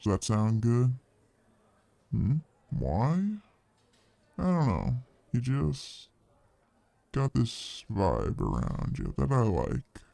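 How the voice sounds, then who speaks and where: A man's voice: medium pitch, very animated, extremely slow, vocal fry, long pauses, warm tone, no room sound, exaggerated voice. A man playing a friendly, easygoing fantasy tavern bartender who chats casually and folksily to the listener in an ASMR roleplay. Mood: welcoming, warm. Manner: laid-back, hesitant, folksy, chatty.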